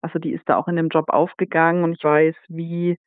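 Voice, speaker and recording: Man on a tinny line, slightly high voice. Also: level tone, brisk, smooth, no echo. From Saxony, Germany. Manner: thoughtful, explains at length. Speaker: author. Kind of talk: interview guest.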